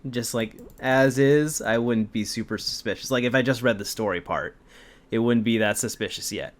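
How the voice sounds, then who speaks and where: A man's voice: mid-range voice, normal speed, slight background noise. A man hosting an amateur fan fiction reading, moving between story narration and amused, joking banter.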